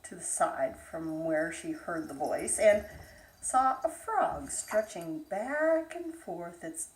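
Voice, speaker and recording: A woman narrating a bedtime fairytale aloud, expressively, with dramatic pauses. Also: on the low side, expressive, a little hiss or hum. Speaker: storyteller. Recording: roomy echo, distant microphone.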